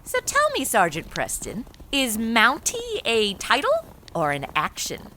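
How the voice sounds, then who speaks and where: A woman's voice: fairly high pitch, huge pitch swings, measured pace, sharp tone, a little hiss or hum, low-quality recording. A woman hosting and narrating a comedy audio drama in a cheeky, sarcastic, conversational manner. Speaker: comedy podcast host and narrator.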